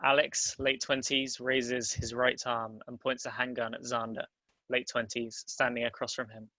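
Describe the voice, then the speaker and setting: A man narrates a script's stage directions in a bright, forward voice. His pitch is average and somewhat even, and the sound is dry, with little room around it. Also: moderate pace, no echo, low-quality recording. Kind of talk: scripted narration at a table read.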